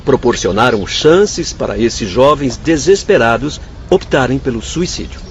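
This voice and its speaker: A man's voice: medium pitch, lively intonation, unhurried. Grave, swooping man's voice, moralizing doctor. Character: earnest male spirit doctor, compassionate but morally stern. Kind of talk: radio drama acting. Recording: dull lo-fi audio with faint ambient noise.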